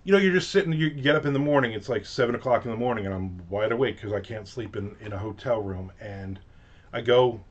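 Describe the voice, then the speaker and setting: A man's voice: medium pitch, fast, lo-fi sound. Rambling New Jersey man, livestream chat. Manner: rambling, chatty, lots of fillers and restarts. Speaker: author hosting a livestream. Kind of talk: casual chat. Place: home office with shelves of collectibles.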